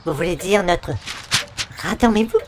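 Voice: mid-range voice, normal speed, background noise, close to an everyday voice. A performer playing an overly courteous, naive visitor in a radio comedy, speaking in a formal, flowery, pompous way. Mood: courteous, puzzled. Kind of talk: 1940s-style radio comedy acting.

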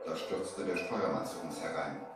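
A man's voice: low-pitched, fairly even intonation, moderate pace, over music, natural voice. A man playing a young merchant who narrates his sea adventure theatrically in a 1970s children's radio play. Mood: narrating, suspenseful. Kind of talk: dramatic scripted storytelling in 1970s radio play. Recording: very echoey, slightly distant vinyl transfer.

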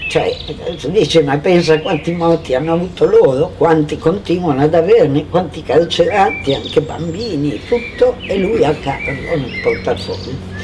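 A man sharing a personal recollection in a halting, emotional, conversational way. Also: on the high side, lively intonation. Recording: noisy ambience with birdsong.

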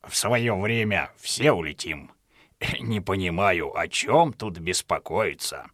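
Old lazy drake husband in a kids audio tale, grumbly n pompous, lowish forceful voice, dry studio. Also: male voice, animated, takes it slowly, no echo, exaggerated voice. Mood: dismissive grumbling. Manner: pompous, self-justifying, sententious grumbling. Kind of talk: audio storybook character acting.

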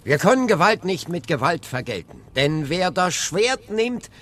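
An actor playing an earnest, gentle young preacher and husband in a radio drama, speaking deliberately. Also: male voice, high-pitched, sing-song, exaggerated voice. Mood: earnest appeal.

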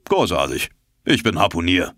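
Man doing a loud brash harpooner guy, hearty, dry close sound. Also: low voice, big pitch swings, moderate pace, dry recording, exaggerated voice. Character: bold, boisterous harpooner, adult man.

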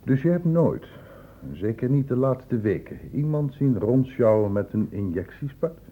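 An old, dull-sounding radio play recording of an insistent doctor. His husky voice moves up and down in a lively way as he patiently questions and explains, pressing with short pointed prompts. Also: medium pitch, normal speed. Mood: insistent, lecturing. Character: probing, patient male public-health doctor questioning a youth. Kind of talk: radio drama acting.

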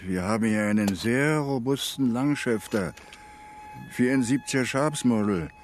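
An actor playing a stubborn, wary old ranger who speaks haltingly in a German western radio drama. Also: male voice, mid-range voice, a little hiss or hum, lightly acted. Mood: wary, dry.

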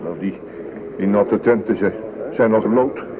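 An actor playing a tired foot soldier on the march in a radio drama. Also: male voice, on the low side, measured pace, natural voice. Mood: weary. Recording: muffled, noisy old radio recording.

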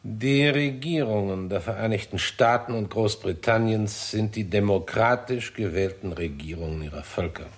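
An actor playing a formal, dutiful government official in a 1960s German radio drama, measured, stiff and tactful. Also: male voice, fairly low pitch, close to an everyday voice. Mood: stern, official.

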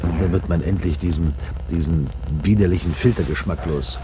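A man's voice: deep voice, normal speed, lightly acted. An actor playing a weary, nostalgic older man in a dystopian future, hesitant and brooding, in a 1970s German radio play. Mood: brooding, bitter. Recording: 1970s radio drama, loud vehicle hum. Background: humming vehicle engine.

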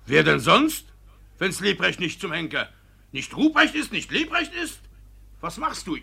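Male, wily corrupt country judge, radio play. Gravelly, highish, extremely animated, evasive and fawning. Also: measured pace, full character voice. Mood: flustered. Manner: wheedling, evasive, fawning to superiors. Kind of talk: 1950s German radio play acting.

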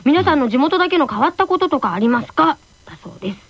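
A woman's voice: sits high, sing-song, fast talker. A woman hosting a radio show, chatting casually and reading out listener mail. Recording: muffled lo-fi radio, music behind. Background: background music.

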